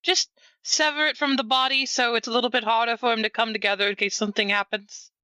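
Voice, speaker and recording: Very bright-voiced woman, dry sound. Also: fairly high pitch, fairly even intonation, fast, smooth, no echo. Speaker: player in a tabletop game. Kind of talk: casual in-character roleplay.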